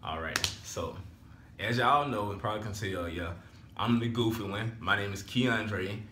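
A twenty-one-year-old man with a drawl talks in a relaxed, rambling way, leaning on "so therefore" between thoughts. His voice sits a little low. The sound is echoey, recorded on a camera mic in a small room at home. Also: normal speed, noisy background. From Alabama, US. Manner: laid-back, rambling, repeats "so therefore". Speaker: vlogger. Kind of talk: casual chat.

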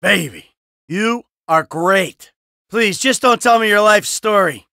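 A man's voice: high-pitched, animated, slow pace, gravelly, pushed and bright, no echo, studio quality, full character voice. A man voicing a cynical, brash middle-aged American criminal in a video game, sarcastic and foul-mouthed. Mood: flirtatious, smug. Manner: sardonic, brash, swearing.

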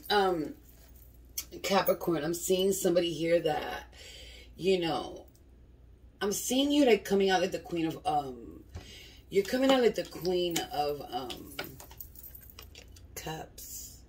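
A woman's voice: fairly low pitch, lively intonation, takes it slowly, long pauses, far from the mic, slight background noise, poor audio. A woman giving a casual tarot reading. She sounds congested from a cold and talks loosely, swearing and often asking "you feel me?" She is recorded in a dim candlelit room. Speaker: tarot reader.

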